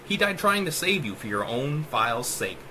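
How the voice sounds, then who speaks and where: A man's voice: mid-range voice, very animated, moderate pace, sharp tone, slight background noise. A man voicing a defiant, irreverent male rat hero in a dramatic sci-fi horror audiobook. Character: defiant, wisecracking male rat hero, battered but stubborn.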